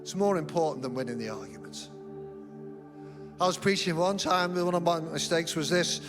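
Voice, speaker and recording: A man's voice: on the high side, normal speed, music in the background. A middle-aged man preaching a sermon as senior pastor in a church, telling humorous, self-deprecating stories. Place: church auditorium.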